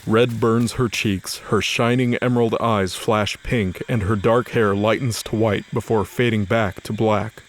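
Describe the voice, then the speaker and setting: Low-voiced male storyteller. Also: fairly even intonation, unhurried, few pauses, slight background noise, poor audio. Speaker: narrator. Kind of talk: scripted audio drama narration.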